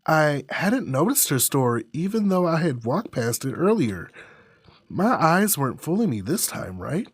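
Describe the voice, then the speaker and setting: A man reading a visual novel aloud as a streamer, with dramatic, deliberate phrasing. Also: a little high, big pitch swings, breathy edge, no echo. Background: music.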